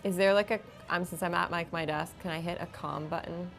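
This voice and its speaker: A woman's voice: fairly low pitch, fairly even intonation, fairly quick, creaky. A woman talking casually as a player in a tabletop role-playing game. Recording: faint music behind the voice. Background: background music.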